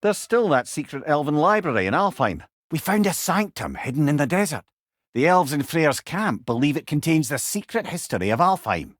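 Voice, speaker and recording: Old scottish wise companion from a video game, wry n chatty, voice goes up and down a lot, super dry sound. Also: male voice, on the high side, very animated, moderate pace, dry recording. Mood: earnest, informative. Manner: wry, eloquent, lilting storyteller's delivery. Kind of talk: video game character dialogue.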